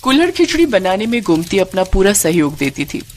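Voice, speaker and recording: A woman's voice: medium pitch, sing-song, fairly quick, faint music behind. A woman narrating a scripted moral story.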